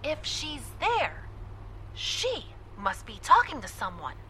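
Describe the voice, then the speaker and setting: A woman's voice: sits high, wildly swooping pitch, slow, pushed and bright, a little hiss or hum, exaggerated voice, poor audio. A woman playing a frustrated, determined organizer at a moon base in an audio drama. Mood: worried.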